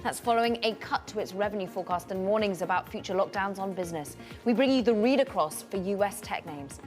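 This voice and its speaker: A woman anchoring a live financial news show, introducing headlines and guests with a brisk, polished delivery. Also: medium pitch, a little mellow, faint music behind.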